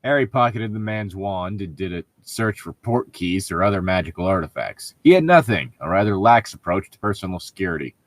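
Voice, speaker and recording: A man's voice: low voice, expressive, fairly quick, few pauses. A man co-hosting a comedic read-aloud, bantering casually with sarcastic, joking, profane commentary. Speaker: co-host reading and mocking fan fiction.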